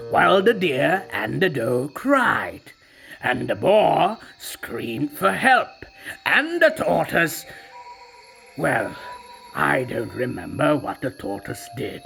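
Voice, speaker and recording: A performer voicing a boastful tiger who narrates his own tale as a slow, sly storyteller in a scripted audio storybook. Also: mid-range voice, vocal fry, pushed and bright, exaggerated voice, poor audio. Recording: music bed under the voice.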